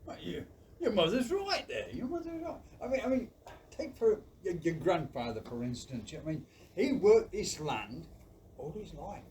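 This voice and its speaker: An actor playing a warm, earnest farmer father in an audio play, rambling and repeating phrases in a folksy way. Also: medium pitch, very animated, normal speed, lightly acted. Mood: earnest, proud. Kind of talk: community audio play acting. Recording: distant mic with faint background noise.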